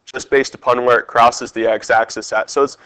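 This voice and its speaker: Congested male teacher, mid pitch, dry close mic, quizzes the class on each step. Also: steady tone, moderate pace, a bit bright, no room sound. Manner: talks through steps, quizzing the class. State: stuffed up, congested. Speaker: math teacher. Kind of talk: test review lesson over screen capture.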